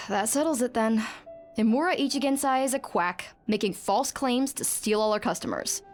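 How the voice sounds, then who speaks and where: A woman's voice: on the high side, moderate pace, faint music behind, natural voice. A woman playing a sardonic, world-weary clinic worker with a dry, gossipy delivery in a video game. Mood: disdainful. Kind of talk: video game dialogue acting.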